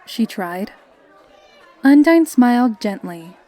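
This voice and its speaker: A woman's voice: on the high side, big pitch swings, takes it slowly, mellow, some chatter behind. A woman reading scripted story narration.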